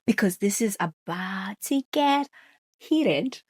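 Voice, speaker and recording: A woman's voice: medium pitch, expressive, takes it slowly, slightly rough. A woman hosting her own talk show, chatting casually and drawing her guest into conversation. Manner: chatty, warm welcomes to viewers. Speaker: podcast host. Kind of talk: casual chat.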